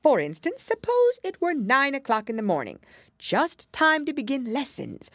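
A woman voicing a mad male Hatter in a storybook, argumentative and mournful, with a loud theatrical delivery. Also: sits high, huge pitch swings, normal speed, no room sound, studio quality, pitched above the performer's own voice, exaggerated voice. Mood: contemptuous, boastful.

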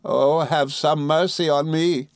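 Storybook heroine, played by a man: desperate, remorseful young woman, soft raised pitch, tearful pleading, dry sound. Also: fairly even intonation, takes it slowly, no echo. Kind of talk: dramatised classic novel reading.

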